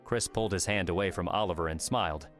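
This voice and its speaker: Low-voiced man narrating a novel. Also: quick pace. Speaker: audiobook narrator. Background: soft music.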